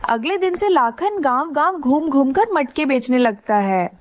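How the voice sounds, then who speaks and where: A woman's voice: high-pitched, expressive, normal speed, loud music behind the voice. A woman reading scripted narration for a children's cartoon story.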